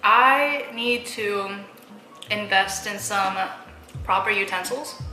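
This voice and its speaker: A woman's voice: medium pitch, slow, bright, forceful tone, reverberant, faint music behind, low-quality recording. A young woman talking casually to her viewers while eating, chatty, laughing often and using plenty of fillers. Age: young adult. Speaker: mukbang vlogger.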